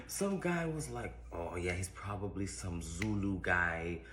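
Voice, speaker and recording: An American man with a very deep voice tells an anecdote in an amused, conversational way. His voice echoes around the room. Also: big pitch swings, takes it slowly, fairly bright tone, a little hiss or hum. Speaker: creator of a reacted clip. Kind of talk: storytelling anecdote.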